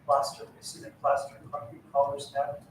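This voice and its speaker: A man's voice: fairly high pitch, monotone, normal speed. A man on a town board introducing interns at a public meeting, rambling with many fillers. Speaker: board member presenting interns. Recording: distant echoey mic, noise.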